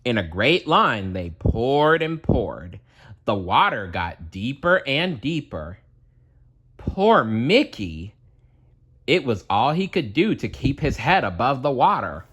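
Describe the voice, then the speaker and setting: A man reads a children's story aloud with deliberate drama. His voice is husky and unhurried, and the intonation rises and falls very widely. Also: mid-range voice, very animated, a bit bright. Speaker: storybook narrator. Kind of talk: children's read-aloud narration.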